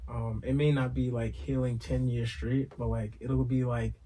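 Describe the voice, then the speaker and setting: A man's voice: mid-range voice, flat delivery, normal speed, mellow, a little hiss or hum, low-quality recording. A man co-hosting a casual podcast, talking in a thoughtful, rambling way, full of "like" and "um". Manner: thoughtful, rambling, heavy on fillers like "like" and "um". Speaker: podcast co-host.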